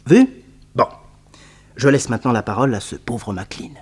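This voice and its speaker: Dramatic narration for a radio detective serial. His mid-pitched voice is highly animated and vivid in its storytelling. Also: moderate pace, slightly rough. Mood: explanatory.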